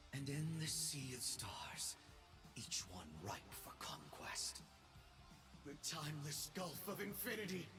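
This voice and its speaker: Megalomaniac emperor, wildly swooping high voice. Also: male voice, distant mic, exaggerated voice. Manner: grandiose, arrogant, swinging between shouts and hushed menace. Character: megalomaniac young self-crowned space emperor, gloating and unhinged. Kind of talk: theatrical video game voice acting.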